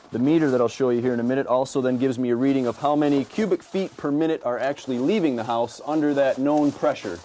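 A man in his thirties, an energy auditor, explaining an on-site home inspection in a relaxed way with many fillers, recorded in a house basement. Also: mid-range voice, slight background noise. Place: basement crawl space and attic of a house.

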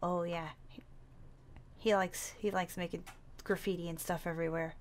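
A woman's voice: lowish voice, level tone, moderate pace, a little hiss or hum, close to an everyday voice. A woman playing a naive, curious survivor in a zombie game roleplay, with a playful, questioning manner. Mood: casual, unsure. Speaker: roleplay player.

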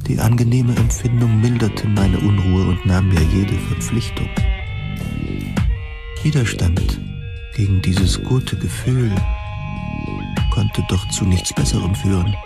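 A man narrating a first-person science fiction audio drama in measured, deliberate, literary phrasing. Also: lowish voice, creaky, loud music behind the voice.

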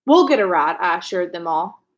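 A woman's voice: lowish voice, expressive, brisk. A young woman reading a children's novel aloud in a storytelling style, indoors at home. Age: young adult.

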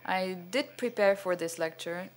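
Older man, halting lecture, fillers, stand mic on a cinema stage. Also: high voice. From Venezuela. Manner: hesitant, many fillers and restarts, gestures broadly. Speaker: cinematographer giving a masterclass. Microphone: stand microphone.

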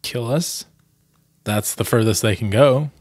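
A man in his thirties hosts with earnest, emphatic delivery. His voice sits at a medium pitch. He is close on a desk microphone in a small room, with a dry sound. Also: unhurried, a little mellow, no room sound. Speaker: vlog host and storyteller. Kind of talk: storybook reading and candid commentary. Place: small plain room indoors.

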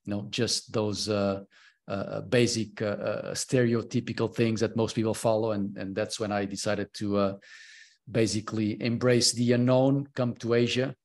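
A man's voice: fairly low pitch, flat delivery, moderate pace, a little mellow. A man in his early forties from Portugal, a podcast guest talking casually and hesitantly, with many fillers and restarts, over a video call. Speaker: podcast guest, consultant and mentor. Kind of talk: casual interview chat. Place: home room, on a video call.

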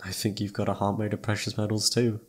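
Man acting breathy, hesitant lovestruck young guy. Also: on the low side, completely flat delivery, close to an everyday voice. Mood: flattered, awkward. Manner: hesitant, halting, tender. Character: lovestruck, self-doubting young male best friend confessing feelings. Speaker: amateur voice actor. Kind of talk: intimate ASMR roleplay acting.

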